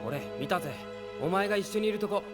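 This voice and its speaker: A man's voice: sits high, animated, racing, loud music behind the voice. A man playing a curt, moody teenage boy in Japanese anime. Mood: annoyed.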